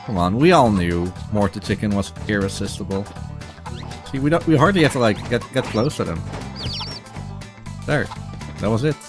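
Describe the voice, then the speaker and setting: A man giving casual live commentary as he speedruns a game, halting and repeating phrases as he goes. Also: fairly low pitch, lively intonation. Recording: game music behind the voice.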